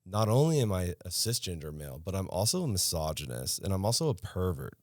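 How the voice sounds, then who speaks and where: A man's voice: deep voice, fast talker, no room sound, clean studio sound. A man co-hosting a podcast, talking bluntly with plenty of swearing in a casual rant. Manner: blunt, profane, animated ranting. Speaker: podcast co-host. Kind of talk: casual chat.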